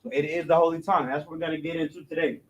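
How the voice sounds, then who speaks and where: Man teaching a loose, halting class. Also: fairly high pitch, fairly even intonation, dull audio, background noise. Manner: loose and repetitive, stumbles and restarts, keeps asking "you know what I'm saying"; explains slowly, step by step. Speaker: religious language instructor. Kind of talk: informal classroom lecture.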